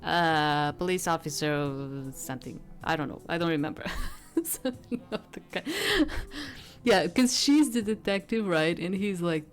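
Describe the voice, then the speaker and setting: Low-pitched woman doing game commentary. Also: animated, moderate pace. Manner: rambling asides, improvised. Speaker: video game streamer. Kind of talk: let's play commentary, reading game text aloud. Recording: game music behind the voice.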